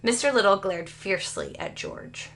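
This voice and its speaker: A woman's voice: medium pitch, normal speed. A woman teacher reading a children's story aloud expressively, as if to young students. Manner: expressive, explains phrases to young listeners.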